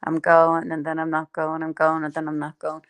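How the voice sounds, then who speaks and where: A woman's voice: deep voice, completely flat delivery, moderate pace, smooth. A woman, hosting a casual live stream chat and filling her talk with ums and laughs. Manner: chatty, lots of fillers and laughs. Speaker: livestream host.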